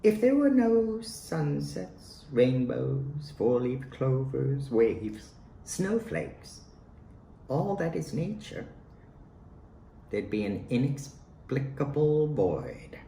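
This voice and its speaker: A man recites his own poems at a slow, deliberate pace, with theatrical pauses between phrases. His pitch swoops widely from line to line. The room around him is echoey. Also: sing-song, gravelly, mellow. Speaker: poet reading own work. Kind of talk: dramatic poetry recitation. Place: at home, roomy indoor space. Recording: roomy echo, faint background noise.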